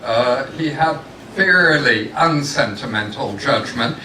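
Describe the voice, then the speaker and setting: A man speaking loudly from the floor at a forum without a microphone, sharing reminiscences. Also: mid-range voice, animated, takes it slowly. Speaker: former institute president, guest speaker. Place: large conference room. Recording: echoey room from far off, faint noise.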